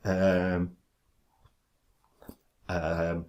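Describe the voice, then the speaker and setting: Male, low-pitched; halting delivery with stammer control, frequent fillers and restarts. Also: monotone, a little mellow. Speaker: vlogger talking about his stammer. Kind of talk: casual direct-to-camera talk.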